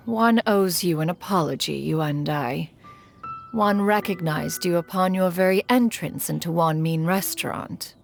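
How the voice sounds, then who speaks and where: A woman playing a proud, caring elder crane immortal in a video game, speaking in formal, archaic, stately phrases. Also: lowish voice. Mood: solemn, apologetic. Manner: formal, archaic, stately, refers to herself as "one". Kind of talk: scripted video game acting. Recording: faint music underneath.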